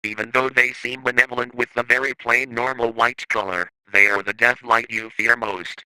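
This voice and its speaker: Bright, forceful man narrating analog horror. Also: medium pitch, flat delivery, moderate pace, clear, resonant tone, no room sound, poor audio.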